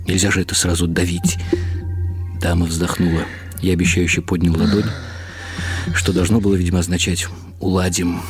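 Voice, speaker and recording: An actor playing a wry, reflective elderly husband who narrates in the first person in short clipped phrases for a radio drama. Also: male voice, deep voice, natural voice.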